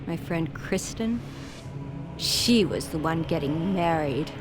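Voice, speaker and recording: A woman's voice: low voice, animated, slow pace. An actress playing a lonely, deranged woman obsessed with true love, telling her story in a wistful, vain, dramatic way for a video game. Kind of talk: dramatic scripted game cutscene narration. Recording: loud music behind the voice.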